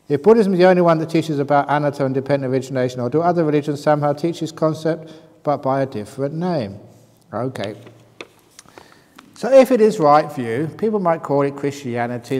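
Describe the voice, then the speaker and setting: A man's voice: on the high side, a little mellow. A man leading a meditation retreat question-and-answer session. He reads out audience questions and answers them with teasing jokes. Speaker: meditation teacher. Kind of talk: retreat Q&A talk. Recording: long hall reverb.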